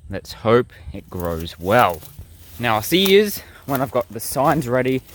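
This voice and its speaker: A young man talking casually to viewers while filming himself outdoors in the bush, rambling and relaxed, with insects chirping behind him. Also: mid-range voice. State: sick, blocked nose and sore throat. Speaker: bushcraft vlogger.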